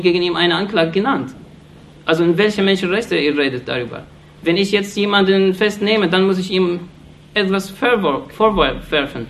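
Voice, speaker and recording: A man from Iraq gives an impassioned political speech in halting German, restarting phrases often and sounding earnest and accusatory. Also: high voice, fairly bright tone. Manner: halting non-native German, frequent restarts and fillers. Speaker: speaker addressing an audience.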